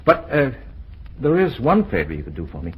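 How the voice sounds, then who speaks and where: An actor playing a lovelorn, wry young man with a halting manner in an old-time radio drama. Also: medium pitch, huge pitch swings. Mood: wistful, resolute. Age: young adult. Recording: noisy old radio recording.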